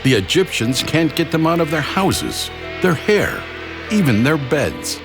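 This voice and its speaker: A man narrating a scripted children's story in a dramatic, expressive way. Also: a little high, unhurried. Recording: loud music behind the voice. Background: music.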